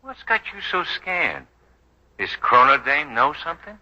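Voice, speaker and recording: An actor playing a tough, shrewd police detective in a 1940s radio drama, dry, sarcastic and needling. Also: male voice, fairly high pitch, big pitch swings, unhurried, bright, forceful tone, exaggerated voice. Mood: needling, sardonic. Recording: dull vintage 1940s radio recording.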